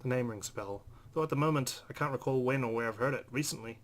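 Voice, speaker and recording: A man's voice: mid-range voice, quick pace. A man hosting a let's play, reading visual novel narration aloud with brief asides. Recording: clear background noise.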